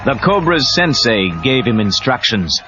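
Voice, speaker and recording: A man's voice: mid-range voice, very animated, a little slow. A man narrating a read-along audio story in a dramatic scripted style. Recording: muffled cassette, music and crowd behind.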